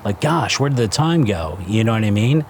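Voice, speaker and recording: A man in his fifties from New Jersey, a restaurant founder talking casually in a fireside interview, halting with fillers and restarts. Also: fairly low pitch, lively intonation, a little hiss or hum. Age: 50s. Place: outdoors by a fire pit.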